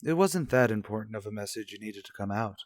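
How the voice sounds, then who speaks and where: A man's voice: lowish voice, animated, quick pace, no room sound, natural voice. A man playing a self-assured, dismissive father and husband in an old-fashioned radio soap, formal and slightly pompous. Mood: composed, formal.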